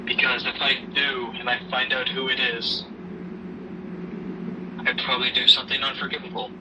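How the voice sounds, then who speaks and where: A man playing a grieving widowed father who gently counsels his daughter in a halting, measured way in an amateur audio drama. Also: monotone, close to an everyday voice. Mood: grieving, subdued. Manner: halting, subdued, measured delivery. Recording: noisy rumbling background, distant mic.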